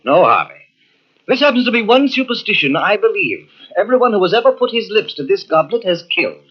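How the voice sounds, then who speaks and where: Haunted, hesitant gentleman, higher-pitched and very animated, vintage radio mystery drama. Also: brisk, breathy edge, full character voice. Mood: reluctant. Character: nervous, haunted gentleman host with a dark secret. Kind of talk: old-time radio mystery acting. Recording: dull old radio tape from cassette.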